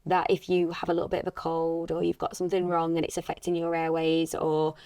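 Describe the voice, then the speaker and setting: A woman with a slightly husky voice, talking casually and reflectively as a voice actress on a podcast. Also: deep voice, completely flat delivery, brisk, dark tone.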